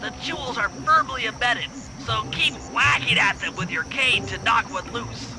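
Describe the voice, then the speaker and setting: An actor playing a fussy, confident, brainy turtle tactician who gives precise, technical briefings in a cartoon video game. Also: male voice, extremely high pitch, moderate pace. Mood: focused planning. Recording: game music behind voice. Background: game music.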